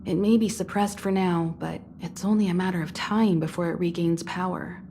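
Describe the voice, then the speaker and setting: An actress playing a composed, kindly mentor of space travellers in a video game, explaining things in a poised, reassuring way. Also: female voice, on the low side, steady tone, moderate pace, warm tone, slight background noise, close to an everyday voice. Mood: calm, explaining. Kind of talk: video game voice acting, measured dialogue. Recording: background music under studio voice.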